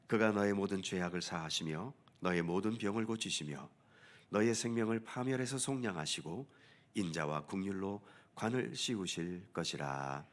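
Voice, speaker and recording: Deep-voiced pastor with a stuffy nose. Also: male voice, expressive. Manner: slow solemn liturgical recitation. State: nasal from a head cold. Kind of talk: leading a church service with prayer and creed. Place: church sanctuary.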